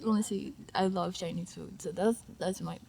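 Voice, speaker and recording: A woman's voice: on the low side, fairly even intonation, normal speed, creaky, a little mellow. A young woman from Africa giving a casual interview, hesitant and full of fillers, often trailing off to a near-whisper. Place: outdoor festival.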